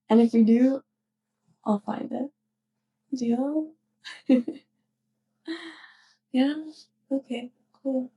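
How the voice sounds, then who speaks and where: A woman playing a sweet, clumsy young witch neighbor in an intimate ASMR roleplay, speaking softly and hesitantly with shy affection. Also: on the high side, steady tone, extremely slow, pauses often, lo-fi sound, heavily acted. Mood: playful, reassuring. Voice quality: soft, breathy. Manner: hesitant, giggly rambling.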